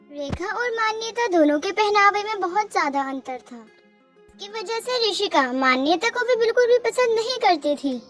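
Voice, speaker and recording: A woman narrating a scripted cartoon family story. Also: very high-pitched.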